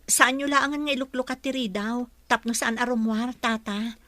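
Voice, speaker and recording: A woman's voice: on the high side, steady tone, normal speed, a bit bright, dry recording, lightly acted. A woman playing a struggling, sorrowful young mother in an Ilocano radio drama. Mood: pleading.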